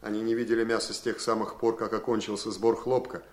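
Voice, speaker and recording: An actor playing a deferential, chatty, wry house servant in a radio drama, polite yet folksy and needling. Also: male voice, on the low side, flat delivery, quick pace, reverberant, lightly acted. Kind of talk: theatrical radio drama acting.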